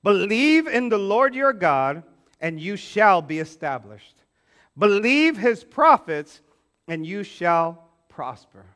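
A man in his forties preaching a sermon on a church stage, animated, acting out quoted voices and swinging from loud to hushed. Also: high voice, slow, clear, resonant tone. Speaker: pastor. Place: church stage with band instruments.

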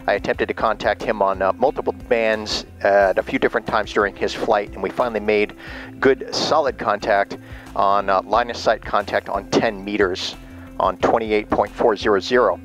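A man's voice: fairly low pitch, steady tone, normal speed, fairly bright tone, faint music behind. A man narrating from his home radio room in a casual, upbeat way with many filler words. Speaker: ham radio operator and private pilot. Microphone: GoPro camera on the desk.

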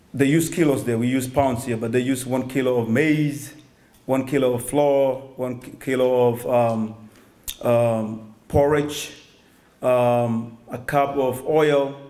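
A man's voice: medium pitch, mellow. A young man from Somalia giving a personal testimony as a guest speaker at a stand mic indoors, hesitant, with many ums and restarts. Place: synagogue hall, indoors.

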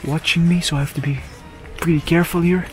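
An enthusiastic man talking his way around an abandoned villa in the woods, his voice sitting a little high. He rambles easily with fillers, recorded outdoors on a small handheld camera with clear music playing under him. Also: fairly even intonation, quick pace. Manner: chatty, enthusiastic, many fillers. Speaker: urban explorer vlogger. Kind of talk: casual walkthrough commentary. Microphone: handheld camera mic.

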